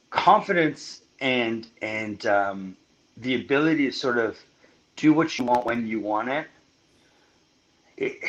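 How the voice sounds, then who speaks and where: A man talking on a podcast as a guest, laying out money advice in an emphatic, explanatory way and slowing down to stress his points. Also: medium pitch, dull audio. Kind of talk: casual podcast chat.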